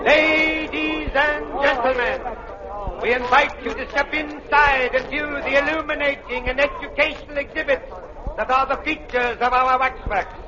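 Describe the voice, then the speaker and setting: A carnival-style museum barker in an old radio play. His voice rings out very high as he hustles customers with declaimed patter. The sound is muffled, with a crowd around him. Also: fairly even intonation, moderate pace. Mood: hustling, urging. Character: loud showman barker hawking wax museum tickets. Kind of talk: 1930s radio acting. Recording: muffled vintage radio, noisy crowd behind.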